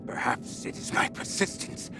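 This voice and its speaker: An actor playing an obsessed researcher sliding into messianic madness in a video game audio log, speaking haltingly and broodingly, at times breaking into whispers or cries. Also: male voice, natural voice. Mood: hushed, awed. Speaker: expedition leader recording audio logs. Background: background music.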